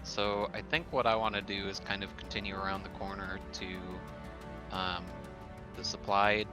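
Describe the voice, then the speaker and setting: A man with a fairly low voice talks as a tabletop player. He sounds tentative, thinking his choices through aloud. Music is clearly audible behind him. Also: level tone, measured pace. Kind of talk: casual game-table chat.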